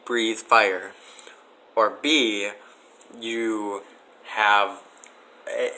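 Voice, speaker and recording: A man as a podcast guest in a casual debate, skeptical and argumentative, with halting delivery. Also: lowish voice, sharp tone, poor audio.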